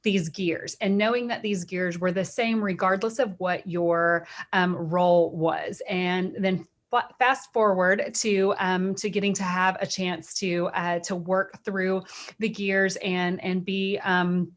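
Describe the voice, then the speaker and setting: A woman's voice: lowish voice, steady tone, takes it slowly. A middle-aged woman with a Texan accent co-presenting a webinar in a chatty way, full of fillers and restarts. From Texas.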